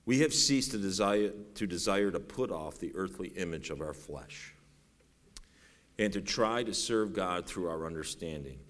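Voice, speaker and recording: A middle-aged man with a bass voice preaching a sermon in a folksy, self-deprecating way, rising to raised, urgent preaching. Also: lively intonation, normal speed, fairly bright tone. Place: church sanctuary. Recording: long reverb in a large hall.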